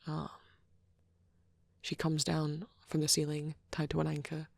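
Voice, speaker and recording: A woman plays a shy young vampire boyfriend in an intimate ASMR roleplay. The voice is low and husky with a crackly fry, often slipping toward a whisper. He rambles bashfully, stammering and restarting as he goes. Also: completely flat delivery, dry recording, studio quality, heavily acted. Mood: earnest, enthusiastic. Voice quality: soft, breathy, often near a whisper. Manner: hesitant, flustered, halting, with many stammers and trailing restarts.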